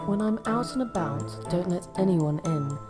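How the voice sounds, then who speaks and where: A woman's voice: lowish voice, animated, moderate pace, over music, natural voice. A woman voicing a sly, bossy mother fox in a rhyming folk tale. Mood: stern, bossy. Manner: commanding, rhyming.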